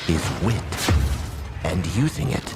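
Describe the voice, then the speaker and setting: An actor playing a shrewd, grandiose orator, declaiming deliberately in a dramatic game monologue. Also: male voice, sits low, very animated, gravelly, full character voice. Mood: grand, sly. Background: loud music.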